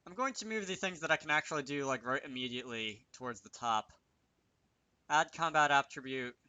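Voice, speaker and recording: Bright-voiced man thinking aloud through a tutorial. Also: medium pitch, no room sound. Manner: thinks aloud, hesitant and halting. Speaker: game developer presenting a tutorial series. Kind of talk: unscripted screen-recorded programming tutorial.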